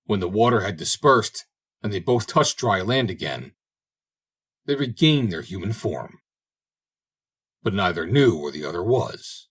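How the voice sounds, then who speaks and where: A man narrates a fairy tale in a fairly low, husky voice. His delivery is expressive and dramatic, with pitch that moves widely from phrase to phrase. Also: measured pace, pauses often. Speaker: audiobook reader.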